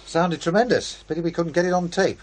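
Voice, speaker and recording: An actor playing an eager, puzzled male radio presenter in a horror radio drama, with a husky voice. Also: a little high, brisk, close to an everyday voice.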